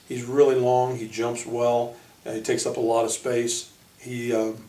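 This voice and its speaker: An American man speaking as a basketball coach, informally introducing his team in halting short phrases. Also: mid-range voice, a little mellow. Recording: echoey room, distant microphone.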